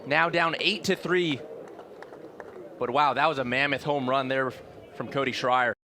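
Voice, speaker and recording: A man doing live radio baseball play-by-play as the announcer, excitable on big plays with drawn-out calls. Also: mid-range voice. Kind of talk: live sports commentary. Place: outdoor baseball stadium broadcast. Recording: loud crowd and stadium noise behind. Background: crowd, ballpark ambience.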